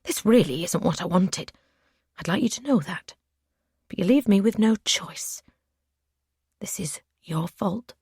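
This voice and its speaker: A woman voicing an obsessive male captor who speaks in soft, breathy whispers. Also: medium pitch, lively intonation, measured pace, pauses often, no echo, studio quality, voice pushed up, heavily acted. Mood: agitated, threatening.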